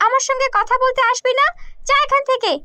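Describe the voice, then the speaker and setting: Bratty stuck-up little girl woman doing it, really shrill high pitch, snappy, scornful, cartoon. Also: steady tone, fairly quick, pushed and bright, no room sound, full character voice. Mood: scornful anger. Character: vain, bad-tempered, haughty schoolgirl sister.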